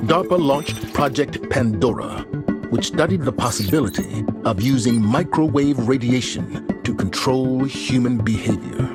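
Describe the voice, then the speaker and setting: Man, scripted documentary narration, medium pitch, prominent music bed. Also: measured pace. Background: loud music.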